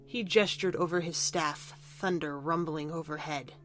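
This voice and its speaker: A woman with a fairly low voice narrates a fantasy story. It is scripted storybook narration, set over soft background music. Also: steady tone, moderate pace.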